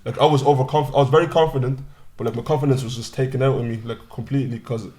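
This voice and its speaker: Fast-talking man, casual podcast guest. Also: medium pitch, steady tone, darkish tone. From born in Nigeria, raised in Ireland. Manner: fast, loose storytelling full of "bro" and restarts. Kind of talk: casual chat. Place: small podcast studio. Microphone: desk mic on boom arm.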